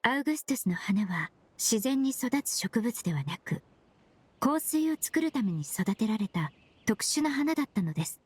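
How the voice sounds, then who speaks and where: High-voiced, composed young perfumer. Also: female voice, big pitch swings, breathy edge, no echo. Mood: calm, explanatory. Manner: polite formal speech, measured explanatory delivery. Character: composed, courteous young woman perfumer, earnest and principled. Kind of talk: Japanese anime game voice acting.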